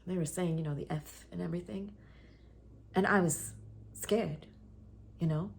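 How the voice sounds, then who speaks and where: Stage monologue: actress as fragile, traumatised young woman, intense and halting, slightly low voice, faint noise. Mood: uneasy, hurt. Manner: halting, intense, often dropping to a breathy hush. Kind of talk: dramatic theatre monologue.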